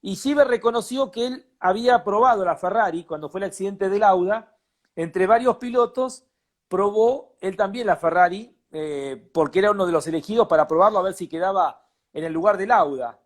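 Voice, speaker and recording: A man's voice: high-pitched, moderate pace. A man with an Argentine accent, co-hosting a casual motorsport chat and telling anecdotes with animation. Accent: Argentine Spanish. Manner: animated storytelling, repeats himself. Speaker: co-host.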